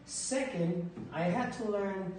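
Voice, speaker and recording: A man in his thirties from Ecuador, a teacher answering interview questions in a relaxed, hesitant way, often repeating words as he thinks. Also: sits high, slow. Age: 30s. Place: school library with bookshelves, indoors. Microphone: far camera across a desk. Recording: echoey room from a distance, faint noise.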